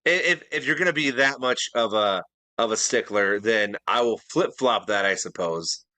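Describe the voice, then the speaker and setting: A man's voice: on the low side, normal speed, pushed and bright, no room sound. A man co-hosting a casual podcast who defends his list with rambling, restarting explanations. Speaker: podcast co-host. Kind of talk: casual debate chat.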